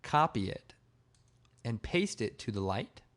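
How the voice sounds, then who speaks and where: A man with a slightly hoarse, raspy voice giving a casual, informal software tutorial, explaining step by step. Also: medium pitch, sing-song, measured pace. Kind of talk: casual screen-recorded tutorial narration.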